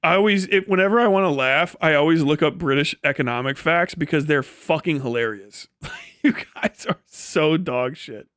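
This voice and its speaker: A slightly high male voice with an American accent, recorded very dry and close. He hosts a livestream, talking casually to his viewers. His delivery is blunt and teasing, with plenty of swearing. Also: moderate pace, fairly bright tone, no room sound. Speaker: live streamer and host reading chat. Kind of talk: casual livestream chat with joking rants.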